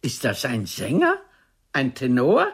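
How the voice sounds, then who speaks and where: An actress playing a haughty, mocking older stage diva in a radio play, savoring her words with theatrical disdain in a husky voice. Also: very deep voice, huge pitch swings, unhurried, exaggerated voice. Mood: bored.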